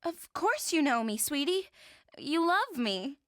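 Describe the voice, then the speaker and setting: A woman's voice: high-pitched, very animated, takes it slowly, a bit bright, no echo, clean studio sound, exaggerated voice. A woman playing a doting, dangerous ex-military woman who stalks the man she loves. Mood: adoring. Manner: sweetly doting with a menacing edge. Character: obsessive ex-military female stalker, cheerful and dangerous.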